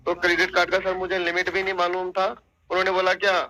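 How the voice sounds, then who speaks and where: A man on a recorded phone call making a complaint, recounting his account in a deferential, repetitive, halting way. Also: sits high, monotone, bright, forceful tone.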